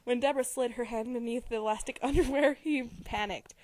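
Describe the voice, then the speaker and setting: A woman's voice: on the high side, fairly quick, a little mellow, no room sound. A young woman giving an amateur dramatic reading of a novel, prone to giggling and stumbling over words. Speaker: amateur reader.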